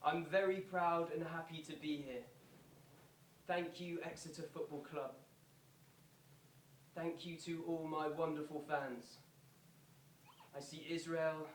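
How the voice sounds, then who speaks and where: An actor playing an earnest male pop star making a slow, pleading, repetitive theatrical speech to stadium football fans. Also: on the high side, little pitch movement. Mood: grateful, loving. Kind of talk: theatrical public speech, reenacted from quoted address. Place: indoor performance venue, recorded from a distance. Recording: roomy, distant, noisy live theatre recording.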